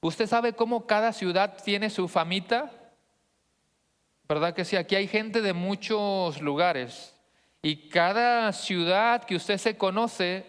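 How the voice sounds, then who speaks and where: A male pastor with a northern Mexican accent delivers a teaching sermon in a high, smooth, clear voice. He talks conversationally to his congregation, often checking in with short questions. His words ring with the long reverb of a hall. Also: a bit bright. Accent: northern Mexican Spanish. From Monterrey, northern Mexico.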